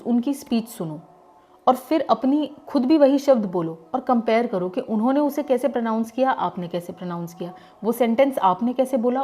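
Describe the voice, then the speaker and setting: Middle-aged radio lady from delhi, gujarati native, chatty explainer, slightly high and mellow voice. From Delhi, India. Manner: conversational and explanatory, addresses the listener directly. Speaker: radio jockey teaching voice work. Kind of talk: voice-training lesson.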